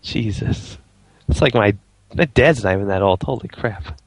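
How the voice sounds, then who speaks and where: A young man co-casting a live game tournament, teasing his partner between fast play-by-play calls. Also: lowish voice, animated, creaky, no echo. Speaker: esports caster.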